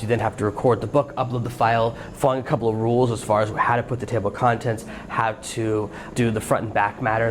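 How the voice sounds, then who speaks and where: A man of middle pitch hosts a solo podcast, walking listeners through each step in a practical, coaching manner. His voice sits at an everyday register, with a faint hiss behind it. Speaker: podcast host. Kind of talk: solo podcast advice talk.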